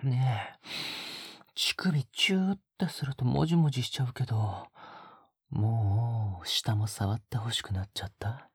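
Man acting a breathy, whispering possessive young lover. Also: mid-range voice, no echo, studio quality. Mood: coaxing, teasing. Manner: slow coaxing, teasing murmur. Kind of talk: intimate whispered audio drama acting.

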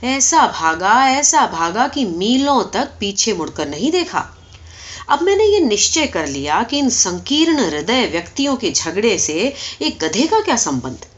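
A woman reading a story aloud as expressive scripted narration, in the manner of an audiobook reader. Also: a little high. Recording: dull, lo-fi recording.

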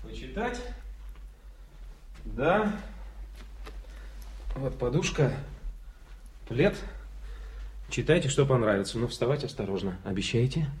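An actor playing a kindly, wry hospital doctor in a radio drama, bantering gently, reassuring but firm. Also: male voice, medium pitch, lively intonation, slow pace, long pauses, slight background noise. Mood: instructing kindly. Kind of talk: 1980s Soviet radio drama acting.

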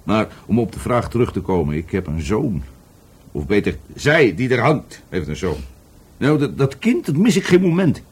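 A man's voice: lowish voice, big pitch swings, normal speed, husky. An actor in a Dutch radio play playing a drunken, bitter divorced man who rambles, stammers and repeats himself. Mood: bitter, slurring. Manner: stammering, repetitive, tipsy.

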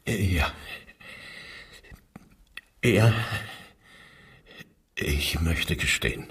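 A man playing a cornered, evasive murder suspect, halting and defensive, in a radio crime drama. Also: low-pitched, expressive, a bit bright, husky, far from the mic, heavily acted. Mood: resigned, confessing. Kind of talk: German radio drama dialogue.

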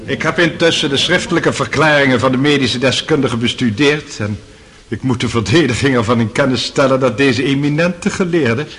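An actor playing an authoritative male judge in a radio courtroom drama, formal and measured. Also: mid-range voice, fairly bright tone, natural voice. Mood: official, declaratory.

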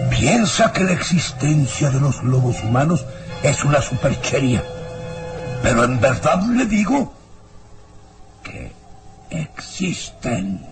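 A scornful baron from a radio melodrama. He speaks slowly and portentously, with big swings in pitch, as if pronouncing doom. Dramatic music plays behind him. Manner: theatrical, drawn-out, foreboding delivery. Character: ominous, scornful baron obsessed with human wolves.

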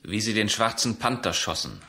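An actor playing a solemn, poetic chorus storyteller narrating a radio play. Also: male voice, lowish voice, sing-song, normal speed, pushed and bright, exaggerated voice. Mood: solemn, vivid. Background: guitar music.

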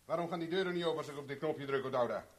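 An actor playing a cool-headed, commanding private investigator in a 1970s Dutch radio drama, speaking tersely and with urgency. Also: male voice, fairly high pitch, very fast, close to an everyday voice. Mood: controlled, sardonic. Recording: noisy vintage 1970s radio recording.

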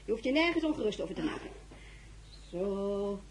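An actress playing a devoted, motherly lady's maid in a radio drama, husky, practical and familiar. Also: mid-range voice, big pitch swings, moderate pace, a little hiss or hum. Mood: reassuring.

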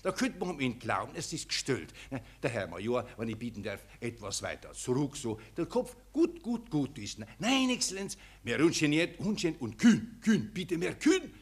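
Fawning, husky foreign press photographer. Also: male voice, mid-range voice, very animated, moderate pace, few pauses. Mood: fussy, excited. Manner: obsequious, repetitive, fussily directing a pose. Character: fawning, pushy male press photographer with broken German. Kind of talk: 1940s German radio play acting.

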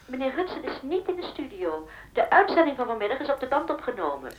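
An actress playing a formally polite station telephone operator in a radio play, heard as a telephone voice. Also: female voice, high-pitched, sing-song, quick pace, lo-fi sound. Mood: polite refusal.

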